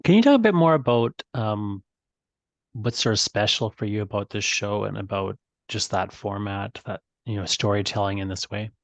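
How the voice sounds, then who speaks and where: Male podcast host, moderately low pitch, dry recording. Conversational interviewer, tentative and affirming. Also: normal speed, no echo. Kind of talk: casual interview questioning.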